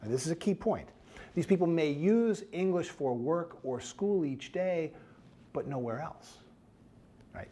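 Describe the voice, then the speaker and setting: A man's voice: mid-range voice, darkish tone. A man giving a scripted academic lecture in an explanatory way, putting rhetorical check questions to his listeners.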